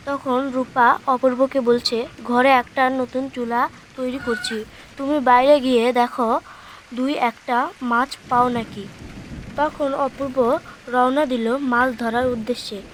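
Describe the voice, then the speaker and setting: A woman's voice: high voice, fairly even intonation, measured pace, a little hiss or hum, poor audio. A woman narrating a scripted children's story. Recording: music behind the voice.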